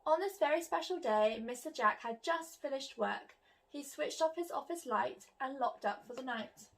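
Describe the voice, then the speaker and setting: A woman's voice: high-pitched, expressive, normal speed. A woman reading a picture book aloud to children like a teacher, expressively and with questions to her young listeners.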